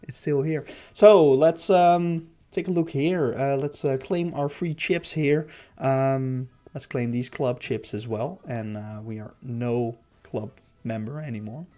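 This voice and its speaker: A man hosting a casual game livestream, raspy and stuffy-nosed, rambling with many fillers. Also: medium pitch, slow, mellow. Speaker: livestream host.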